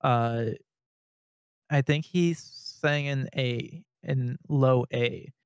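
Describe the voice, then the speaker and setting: A young man from Canada chatting as a podcast co-host, rambling with many restarts and nervous laughs, with a fairly high-sounding voice. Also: very slow, gravelly, clear, resonant tone, no room sound, studio quality. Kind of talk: casual podcast chat.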